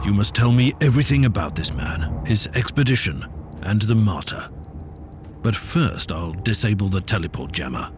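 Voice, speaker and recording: A man's voice: on the low side, lively intonation, normal speed, natural voice. Man playing a curt, imperious inquisitor. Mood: stern. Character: stern, commanding male inquisitor, curt and imperious. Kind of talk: video game voice acting. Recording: loud music behind the voice. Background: loud game music.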